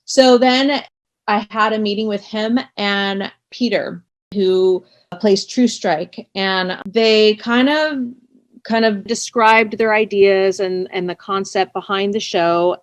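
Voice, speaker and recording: A woman being interviewed, telling stories casually in a chatty, expressive and self-effacing way. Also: medium pitch, slow pace, dry recording. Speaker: interview guest, audio drama writer. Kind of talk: casual conversational storytelling.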